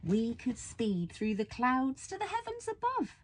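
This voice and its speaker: A woman reads a children's bedtime story aloud in a soft, husky voice, affectionate and playful, full of wonder. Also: high-pitched, sing-song, a little slow. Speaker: storybook reader.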